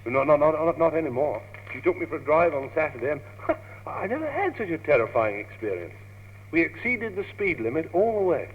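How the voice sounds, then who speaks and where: An actor with a British accent playing a naive, lovestruck young gentleman in a radio comedy, stammering earnestly. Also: fairly high pitch, sing-song, brisk, husky. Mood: flustered earnest. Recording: tinny, hissy, humming old radio tape, reverberant.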